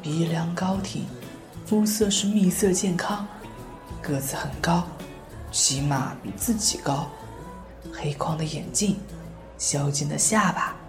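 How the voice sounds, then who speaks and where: A woman's voice: medium pitch, a little mellow, natural voice. A woman playing a blunt, eccentric, self-assured teenage schoolboy artist in an amateur audio drama, speaking in a bold, matter-of-fact way. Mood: musing.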